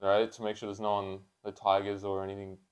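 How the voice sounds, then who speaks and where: A man's voice: lowish voice, monotone, a little fast. A man in his twenties chatting casually and unscripted as a podcast co-host, with blokey, sweary, slangy talk. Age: 20s.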